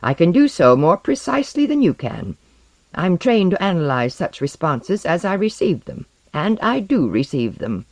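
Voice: medium pitch, very animated, moderate pace, darkish tone, no echo, pitched above the performer's own voice. A narrator voicing a bitter, contemptuous man who speaks in a cutting, precise, jeering way. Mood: cold contempt. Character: bitter, sneering misanthropic man, contemptuous loner.

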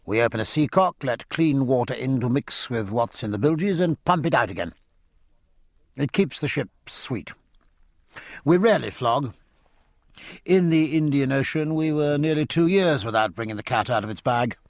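A man's voice: medium pitch, expressive, moderate pace, no echo, clean studio sound, close to an everyday voice. A man voicing a deferential ship's officer who explains matters respectfully to his captain.

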